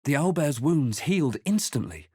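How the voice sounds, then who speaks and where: An actor playing a solemn adventurer who recounts a dark fantasy journey in measured, literary game narration. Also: male voice, on the high side, sing-song, husky, dry recording, clean studio sound. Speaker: narrating player character. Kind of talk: scripted first-person fantasy game narration.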